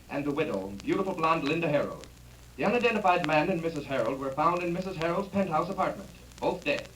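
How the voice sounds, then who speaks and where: Male radio commentator; formal, declamatory, high-set voice, slightly distant on vintage recording. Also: fairly bright tone. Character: grave, dramatic male late-evening radio news commentator. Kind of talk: 1930s radio newscast acting. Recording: distant, faintly noisy old radio recording.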